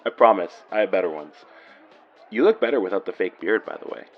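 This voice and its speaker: A man's voice: deep voice, animated, quick pace, narrow, tinny audio, soft background music. An actor playing a flirty, easygoing male police officer in a naturalistic audio drama. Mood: flirty, teasing. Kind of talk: naturalistic horror fiction podcast acting.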